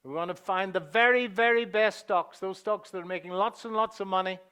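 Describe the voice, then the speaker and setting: A middle-aged man with a Northern Irish accent presenting a live seminar to a conference audience, chatty and joking, with frequent "uh" fillers and quiet asides. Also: high voice, fairly quick, clean tone. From England.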